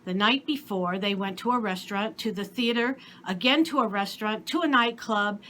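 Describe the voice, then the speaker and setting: Bright-voiced woman reading a story. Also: medium pitch, normal speed, slight background noise. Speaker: story reader. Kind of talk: expressive scripted storybook narration.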